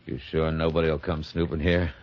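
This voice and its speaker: An actor playing a terse, wary killer who speaks conspiratorially in a 1950s radio mystery. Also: male voice, very deep voice, level tone, fairly quick. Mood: wary, secretive. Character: terse, wary male killer planning a cover-up. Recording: muffled, noisy old radio broadcast.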